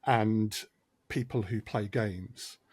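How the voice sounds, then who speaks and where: A man's voice: fairly low pitch, slow, a little mellow, husky, no echo. A man speaking as a podcast guest, hesitant and full of restarts, with a dry sense of humour. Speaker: audio drama producer, guest. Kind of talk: casual podcast chat.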